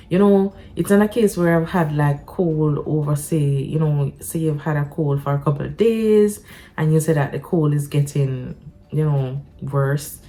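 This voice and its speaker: A Jamaican woman vlogging casually from home, her voice stuffy and congested, talking in a halting way with many restarts. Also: deep voice, a little mellow. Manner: halting, with frequent restarts and fillers. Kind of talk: casual talk to camera.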